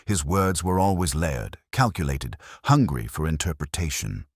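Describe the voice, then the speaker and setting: Very deep male detective story narrator. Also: big pitch swings, breathy edge, dry recording, clean studio sound. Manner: measured, literary, reflective. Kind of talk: scripted first-person detective story narration.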